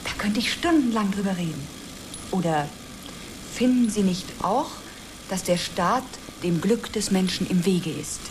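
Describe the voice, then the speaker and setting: An actress playing a forward, coaxing, excitable woman space traveller in a radio drama. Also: mid-range voice, measured pace, close to an everyday voice. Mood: coaxing, chatty. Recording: clearly noisy engine-like ambience behind.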